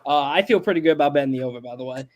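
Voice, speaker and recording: Young dude 20s, keeps repeating his point, pretty high voice. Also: very fast. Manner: emphatic, repeats points for stress. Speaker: podcast panelist. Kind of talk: casual sports debate.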